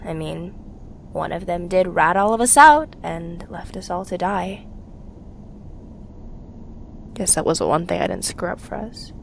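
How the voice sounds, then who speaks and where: Low-voiced grieving young rebel fugitive played by a woman. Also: animated, measured pace, vocal fry, pauses often, slight background noise. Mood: bitter. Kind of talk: audio drama monologue, confessional tape diary.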